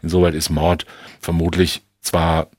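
A man speaking as an expert guest in an analytical discussion, explaining things in a measured, precise way. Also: deep voice, level tone, dry recording, studio quality.

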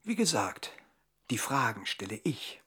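A man's voice: mid-range voice, very animated, unhurried, noisy background. A man playing a cold, controlled kidnapper who interrogates with curt menace in a German radio drama.

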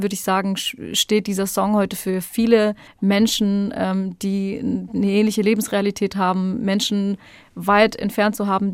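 A woman's voice: medium pitch, dry recording. Earnest woman, dry close sound. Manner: earnest, recounting a memory. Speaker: band member. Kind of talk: personal interview account.

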